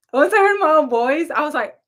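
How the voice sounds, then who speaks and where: Woman livestream host, loud and rambling, higher-pitched casual storyteller. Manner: animated, rambling, laughing, frequent throat clearing. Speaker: livestream host, spiritual reader.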